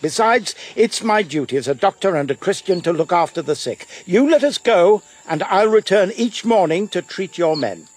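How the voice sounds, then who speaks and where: A man playing a proper, resolute gentleman doctor in a period audio adventure, speaking formally and precisely. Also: high voice, expressive, normal speed, hardly any pauses. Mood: firm negotiating.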